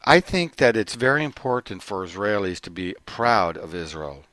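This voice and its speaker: A middle-aged man giving an earnest personal testimony, often halting and restarting his phrases. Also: fairly low pitch, very animated, fairly bright tone, no room sound. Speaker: corporate trainer.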